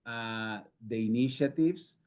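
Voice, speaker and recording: A man's voice: medium pitch, slow, a little mellow. A man, a company executive, answering an analyst's question hesitantly with many fillers.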